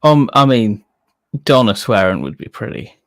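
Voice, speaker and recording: A man with a fairly low voice and noticeable creak chats as co-host of a fan stream. His delivery is halting and informal, yet his pitch moves around a lot. It sounds dry, with little room around it. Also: sing-song, a bit bright, no room sound. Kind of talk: casual chat.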